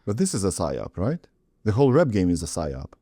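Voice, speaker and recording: Guy hosting a chat, low mellow voice, dry close sound, stops and restarts a lot. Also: huge pitch swings, fairly quick, husky, no room sound. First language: Russian. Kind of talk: casual interview chat.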